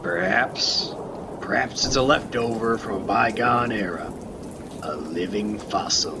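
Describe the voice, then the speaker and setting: Creaky-voiced lighthouse keeper played by a man, calm, mysterious storyteller for a student radio play; rain and thunder behind. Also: on the low side, unhurried, noisy background. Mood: calm, reflective.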